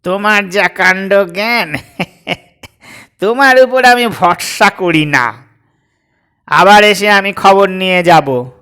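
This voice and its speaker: A man voicing a fussy, irritable but doting old father in a comic audio story, scolding with emphatic, drawn-out delivery. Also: high-pitched, bright, forceful tone, smooth, full character voice. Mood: stern, fussing.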